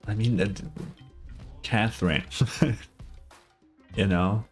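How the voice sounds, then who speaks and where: Deep-ish guy doing casual game reactions, chill muttered asides, bit of game music under him. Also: takes it slowly. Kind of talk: casual live gameplay reaction.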